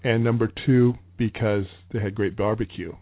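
A man co-hosting a travel talk show, with a dry, joking, matter-of-fact delivery. His voice is on the low side. The audio is thin and tinny, like a phone line. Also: fairly even intonation, moderate pace. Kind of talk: casual chat.

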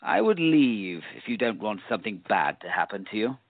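A man's voice: on the low side, very animated, normal speed. A man playing a smirking, menacing time-meddling villain in a radio play. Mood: mocking menace. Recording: tinny phone-like line.

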